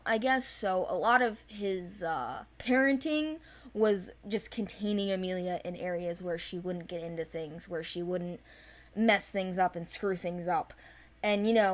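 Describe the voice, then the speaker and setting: Female co-host, casual and playful, mid-range pitch, informal character rundown. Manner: giggly, playful, informal, acting out quotes. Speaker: co-host presenting an animated series project's characters. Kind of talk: casual chat.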